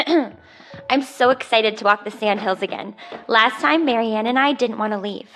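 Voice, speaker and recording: Eager fifteen-year-old girl, higher pitch, polite and spirited, dramatized scene with music. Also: moderate pace, fairly bright tone. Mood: excited. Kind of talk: audio drama acting. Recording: music under the voice.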